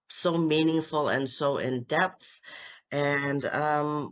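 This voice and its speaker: A woman's voice: low-pitched, a bit bright. A woman hosting a podcast, chatting casually with a guest, gushing with enthusiasm and leaning on "like" and "um". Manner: uses many fillers like "like" and "um". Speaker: podcast host. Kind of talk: casual interview chat.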